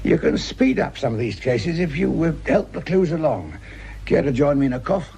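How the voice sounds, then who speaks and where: An actor playing a grumbling, sardonic, corner-cutting British police sergeant in a radio drama, full of world-weary asides. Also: male voice, on the high side, lively intonation, moderate pace, creaky, mellow, slight background noise.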